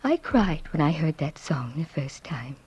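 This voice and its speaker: An actress playing a frail, doting elderly aunt in an old radio thriller, sweet, eerie and plaintive, slipping into breathy whispers. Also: female voice, low-pitched, very animated, measured pace, a little mellow, exaggerated voice. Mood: wistful, eerie. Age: older. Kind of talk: 1940s-50s radio thriller acting. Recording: muffled vintage radio recording, music behind.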